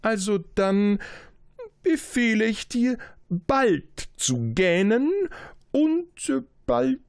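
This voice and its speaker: A man playing a pompous old king in a storybook reading, handing out loud, drawn-out decrees. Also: high-pitched, sing-song, vocal fry, smooth, dry recording, studio quality, voice pushed up, exaggerated voice. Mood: commanding.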